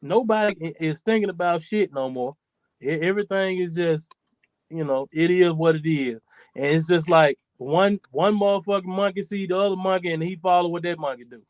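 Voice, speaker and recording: A man's voice: high voice, moderate pace, no room sound. A man in his forties hosting a podcast, talking in a loose, profane, rambling way. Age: 40s. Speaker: podcast host. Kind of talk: casual chat.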